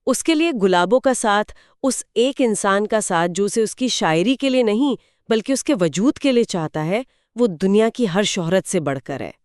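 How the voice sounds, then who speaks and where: A woman's voice: medium pitch, lively intonation, normal speed, few pauses, darkish tone, clean tone, no room sound, studio quality. A woman giving explanatory, conversational film commentary in an earnest storytelling way. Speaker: podcast co-host.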